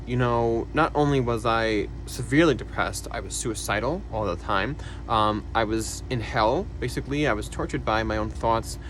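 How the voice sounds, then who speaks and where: A young man talking casually to camera about his own life, soft-spoken and hesitant, with many fillers and quiet sighs. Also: mid-range voice, slight background noise, poor audio. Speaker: vlogger. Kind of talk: casual personal talk to camera.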